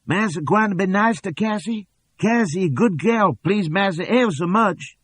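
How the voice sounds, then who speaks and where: A man voicing a quick-witted, daring young woman in an audiobook, pitched high and pleading. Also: animated, fairly bright tone. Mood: wheedling. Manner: high and pleading, then urgent. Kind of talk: audiobook character voice.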